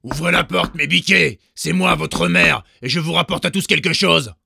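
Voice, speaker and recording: Man doing a sneaky storybook wolf, high fake-sweet voice, kinda loud. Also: moderate pace, vocal fry, slightly rough, no echo, studio quality, exaggerated voice. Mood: wheedling. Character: sly hungry male wolf in disguise.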